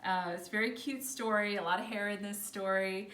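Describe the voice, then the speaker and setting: A woman's voice: medium pitch, fairly even intonation, fairly quick, fairly bright tone. A woman librarian casually presenting children's books in a chatty, informal way, explaining things as she goes. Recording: roomy echo with faint background noise.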